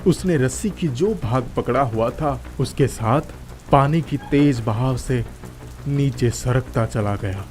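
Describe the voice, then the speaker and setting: A man's voice: medium pitch, slow, a little mellow. A man narrating a scripted children's moral story as a storyteller.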